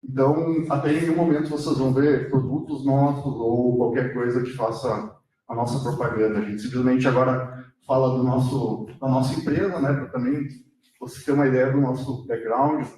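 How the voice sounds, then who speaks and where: A mid-pitched male voice talks in a loose, conversational way and keeps checking in with the audience. He sounds far from the mic, and the space behind him is very echoey. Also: fairly even intonation, moderate pace, mellow. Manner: casual, with tag questions like "né?". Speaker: company representative introducing the speaker. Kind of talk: brief company introduction.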